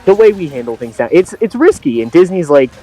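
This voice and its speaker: A man's voice: fairly high pitch, sing-song, fairly quick, mellow. A young American man from Florida bantering casually with friends on a game stream, slangy and profane, repeating phrases for emphasis. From Florida, United States. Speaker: game streamer in a group call. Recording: music behind the voice. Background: music.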